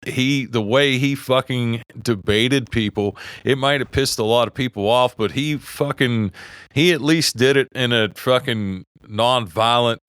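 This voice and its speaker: Man, West Virginia accent, middle-aged, podcast co-host, casual heated talk, profane, restarts sentences, smooth voice, dry close mic. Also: medium pitch, measured pace, a bit bright, no room sound. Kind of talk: casual opinionated chat.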